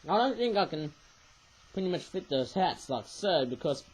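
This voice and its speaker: A young man with a croaky voice, rambling hesitantly as he hosts a casual crafting vlog, full of filler phrases. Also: on the high side, big pitch swings, moderate pace, rough recording. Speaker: craft channel host.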